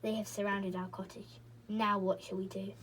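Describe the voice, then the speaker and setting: A woman voicing a gruff but kindly father bear in a storybook, with a growly way of talking. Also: medium pitch, level tone, moderate pace, slight background noise, close to an everyday voice.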